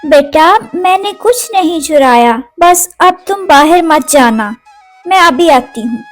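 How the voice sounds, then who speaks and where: A woman's voice: sits high, animated, slow, faint music behind. A woman voicing a meek, pleading poor mother bird who works as a maid in a cartoon story. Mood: worried. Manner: humble, pleading. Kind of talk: Hindi cartoon story dialogue.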